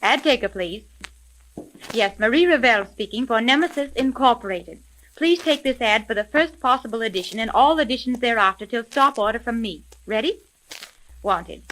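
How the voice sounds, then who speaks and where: A woman's voice: a little high, expressive, moderate pace, fairly bright tone. A young woman playing a self-assured, businesslike female private detective in a 1930s radio drama. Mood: brisk, impatient. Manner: crisp, firm, businesslike. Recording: noisy vintage 1930s radio recording.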